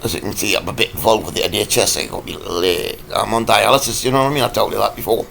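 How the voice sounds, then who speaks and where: A man, croaky from a cold, ranting in a rambling, halting vlog full of fillers. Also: lowish voice, fairly bright tone, a little hiss or hum. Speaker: vlogger.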